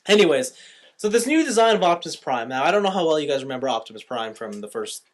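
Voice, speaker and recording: Guy hosting a movie podcast, voice a bit high, chatty, keeps rephrasing his takes. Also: big pitch swings, fast. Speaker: podcast host. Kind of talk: casual movie review chat.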